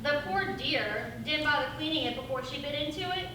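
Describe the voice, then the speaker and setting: A woman's voice: sits high, a little fast, close to an everyday voice. A woman playing a self-pitying, falsely sweet old witch with a melodramatic, genteel manner in a theatrical comedy. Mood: falsely innocent. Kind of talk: theatrical stage comedy acting. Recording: distant, very echoey, noisy stage recording.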